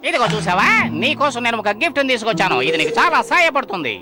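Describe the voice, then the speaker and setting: A man's voice: very high voice, sing-song, fast. A man playing a chirpy, agreeable little helper, eager to please, in a dubbed cartoon. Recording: loud music over the voice.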